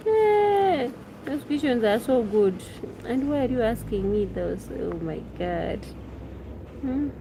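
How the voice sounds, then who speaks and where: A woman's voice: a little high, sing-song, slow pace. A woman with a Kenyan accent chats casually as a live streamer, musing unhurriedly, repeating phrases and answering viewers. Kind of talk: casual chat, reading and answering viewers' questions. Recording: clearly noisy background.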